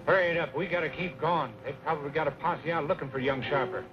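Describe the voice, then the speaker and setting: A man's voice: fairly high pitch, fast talker, natural voice. An actor playing a wary outlaw henchman in an old western radio drama. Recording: muffled, noisy old radio recording.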